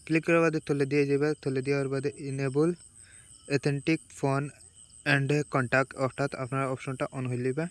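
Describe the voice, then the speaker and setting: A man's voice: medium pitch, flat delivery, normal speed, no room sound. A man with a Sylheti accent giving a casual step-by-step phone tutorial, repeating himself as he instructs.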